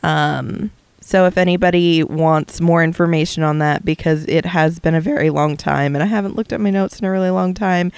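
A woman's voice: on the low side, normal speed, clear, resonant tone, no echo. A woman co-hosting a casual podcast, chatting with many ums and restarts. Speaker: podcast co-host.

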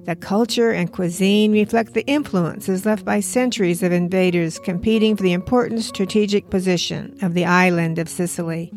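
A woman hosting an interview podcast, reading a scripted intro and asking questions in a measured way. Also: medium pitch, fairly even intonation, darkish tone, soft background music. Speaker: podcast host.

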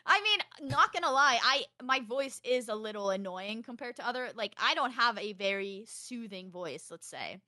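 A young woman from Texas chatting on a podcast, loud and animated, telling stories fast with a close mic. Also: fairly high pitch, no room sound. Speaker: podcast guest, streamer. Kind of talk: casual podcast chat.

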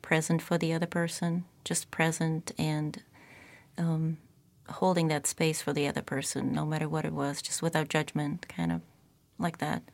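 Soft-spoken Czech-accented woman. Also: sits low, level tone, moderate pace, gravelly. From Czech Republic. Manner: reflective, searching for words, soft-spoken. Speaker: podcast guest, therapist. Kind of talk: casual interview chat.

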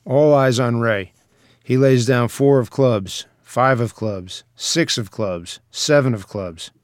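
A man's voice: mid-range voice, takes it slowly, dry recording, studio quality. A man narrating a screenplay's stage directions in a dramatic present-tense storytelling manner.

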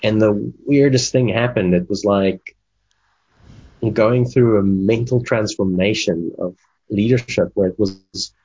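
A man's voice: on the low side, a little slow, a little mellow, husky. A man whose first language is Afrikaans, telling his career story in a casual interview with lots of fillers. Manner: rambling storyteller with many fillers. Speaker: guest, game development manager and coach. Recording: dull lo-fi sound.

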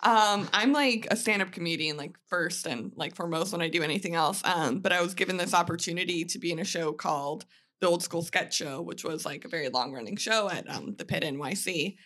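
A woman's voice: on the low side, a little fast, a bit bright. A woman comedian chatting casually and jokingly as a podcast guest, with lots of "like" in her talk. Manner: chatty, joking, heavy use of "like". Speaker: stand-up comedian and sketch writer, podcast guest. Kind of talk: casual chat.

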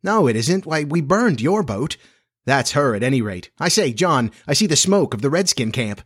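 A man voicing excitable young children in a storybook, eager and exclaiming. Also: mid-range voice, measured pace, no room sound, clean studio sound. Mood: excited.

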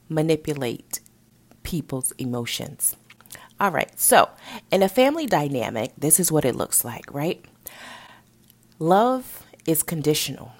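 A woman hosting a mental health podcast, a little raspy and nasal from a head cold, teaching in an upbeat, encouraging way. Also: sits low, dry recording. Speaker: podcast host. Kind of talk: podcast education talk.

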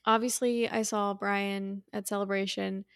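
Playful woman chatting with a creaky edge. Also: medium pitch, level tone, unhurried, fairly bright tone, dry recording, clean studio sound. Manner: playful, informal. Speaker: podcast co-host. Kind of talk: casual chat.

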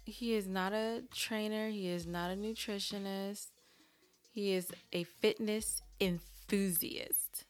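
A woman's voice: mid-range voice, fairly even intonation, slow, faint music behind. A woman co-hosting a podcast, asking questions and challenging her partner in casual, sarcastic banter.

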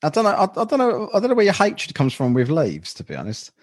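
A man's voice: fairly high pitch, wildly swooping pitch, quick pace, no echo, studio quality. A man in his thirties co-hosting a podcast, rambling and joking in casual banter. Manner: joking, rambling, laughs at own jokes. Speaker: podcast co-host.

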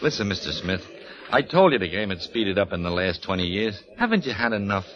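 An actor playing a brash, wisecracking ghost newspaper reporter in a 1940s radio drama, talking in quick newsman patter. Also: lowish voice, big pitch swings, fairly bright tone. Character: brash fast-talking male ghost sportswriter. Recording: muffled old radio broadcast recording.

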